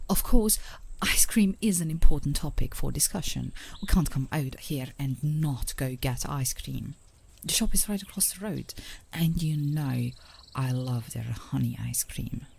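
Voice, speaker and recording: A woman playing an affectionate, teasing girlfriend in an intimate ASMR roleplay, speaking softly and soothingly, often in a whisper, close to the microphone. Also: rumbling low, measured pace, slight background noise, full character voice. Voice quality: soft, breathy, often whispering. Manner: soothing, playfully coaxing, with small chuckles. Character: gently coaxing, teasing, affectionate girlfriend on a park date.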